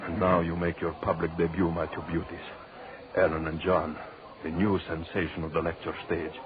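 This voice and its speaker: An actor in an old radio suspense drama playing a deranged, tormented, murderous anthropologist who talks obsessively to himself in a theatrical way. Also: male voice, sits low, little pitch movement, moderate pace, lightly acted. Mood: gloating. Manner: theatrical, muttering to himself, repeating phrases obsessively. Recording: dull, noisy old radio recording.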